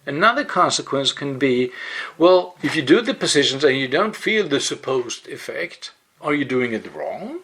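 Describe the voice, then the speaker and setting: A man's voice: medium pitch, very animated, a bit bright, husky. A man with a Swedish accent, a native Swedish speaker, explaining things carefully in English with fillers and restarts as the host of his own web series. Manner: deliberate, careful in second language, with fillers and restarts. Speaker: yoga webpage host. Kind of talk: explanatory monologue.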